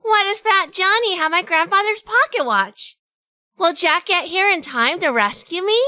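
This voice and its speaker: A woman's voice: extremely high pitch, animated, moderate pace, pushed and bright, no echo, clean studio sound, faint music behind, full character voice. A woman playing a shrewd, sassy, hardboiled heroine narrating a detective audio drama. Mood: suspenseful. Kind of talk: first-person detective drama acting and narration.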